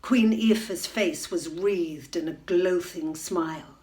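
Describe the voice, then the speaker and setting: A middle-aged woman reading a children's bedtime story aloud at home, expressive, into a webcam. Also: fairly low pitch, takes it slowly. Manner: expressive, rising to loud excitement in dramatic moments. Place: room at home.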